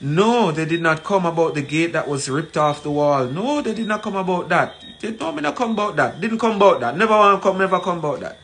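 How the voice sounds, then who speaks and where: A man in his forties with a Jamaican accent gives an emphatic, deliberate address to camera, repeating phrases for weight. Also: sits high, animated, a bit bright. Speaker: community leader. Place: small plain room indoors. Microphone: phone at arm's length. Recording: noisy room, ambient background sound.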